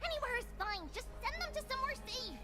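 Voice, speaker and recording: A woman's voice: extremely high pitch, lively intonation, fast. A woman playing a playful, childish girl who talks in a bubbly way, in a video game cutscene. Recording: game audio with music behind.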